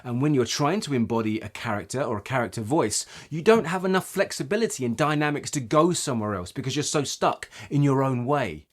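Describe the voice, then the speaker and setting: Male voice coach for tutorial narration: mid-pitched, very animated intonation, expressive and emphatic instructional delivery. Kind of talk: instructional video explanation.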